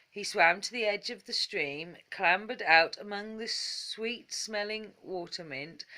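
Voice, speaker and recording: A woman reading a children's story aloud as a calm, gentle bedtime storyteller. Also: on the low side. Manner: gentle, unhurried.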